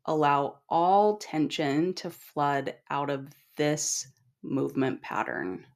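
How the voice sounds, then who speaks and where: A middle-aged woman leading a guided meditation in a calm, gentle, instructing manner with long pauses. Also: low-pitched. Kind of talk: guided body scan meditation.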